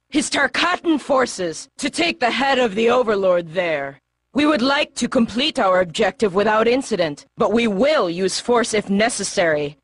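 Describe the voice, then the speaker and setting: A savage, bloodthirsty army warrior in a video game. The voice is husky and dry-recorded, taunting its foes and giving commands with fierce authority. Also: female voice, a little high, a bit bright, no room sound. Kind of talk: video game cutscene acting.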